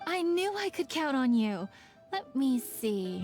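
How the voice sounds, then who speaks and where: An actress playing a polite, businesslike young woman who manages a festival project in a video game, explaining things clearly. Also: high-pitched, very animated, slow pace, a little mellow, faint music behind, full character voice.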